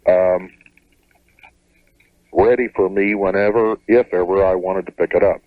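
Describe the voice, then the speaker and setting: A man calling a radio show, explaining his situation carefully in halting, measured phrases. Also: deep voice, steady tone, dry recording. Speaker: caller to radio advice show. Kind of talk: hesitant, careful explanation over the phone. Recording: narrow tinny phone line to radio.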